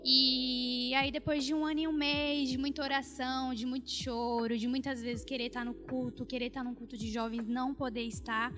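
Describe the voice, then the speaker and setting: A young woman in her twenties tells a heartfelt personal story on a church podcast. Her voice sits a little high and she speaks earnestly, pausing on fillers. Soft music runs faintly behind her. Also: flat delivery. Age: 20s. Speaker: guest. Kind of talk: personal testimony.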